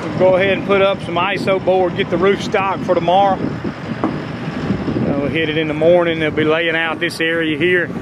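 A middle-aged man with a drawl talks plainly through a job-site walkthrough, his voice sitting a little high for a man. He stops and starts with fillers as he explains each step. Heavy wind and site noise roar around the handheld camera on an open rooftop. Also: flat delivery, moderate pace. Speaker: roofing company owner showing a job site. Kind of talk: casual walkthrough explaining work. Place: outdoors on a large flat commercial roof. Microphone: handheld camera at arm's length.

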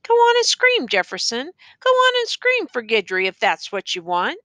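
A woman narrator acts out a male schoolteacher in an audiobook novel. He is earnest, insistent and exasperated, speaking in educated phrases. The voice is bright and forward, recorded very dry. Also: a little high, huge pitch swings, moderate pace, no room sound, heavily acted. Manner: pleading, insistent, educated diction.

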